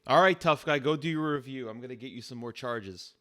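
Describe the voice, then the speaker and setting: A man's voice: mid-range voice, a little fast, pushed and bright, voice pushed up, lightly acted. A man voicing a stern, aggressive, fed-up police officer in an improvised roleplay, barking and repeating himself. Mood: irritated, threatening.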